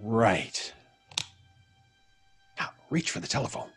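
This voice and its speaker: An actor playing a polite, probing, quietly menacing visitor in an old-time radio mystery, musing deliberately with frequent hums. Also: male voice, lowish voice, big pitch swings, slightly rough, faint music behind, full character voice. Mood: coaxing, commanding.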